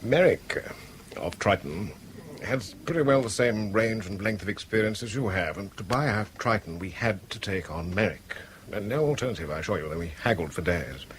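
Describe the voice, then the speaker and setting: An actor playing a flustered, evasive British managing director in a 1970s radio drama, hesitant, repetitive and apologetic. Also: male voice, on the low side, lively intonation, normal speed, natural voice. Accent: British English. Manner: hesitant, stammering, repeats himself. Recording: 1970s radio recording, faint background noise.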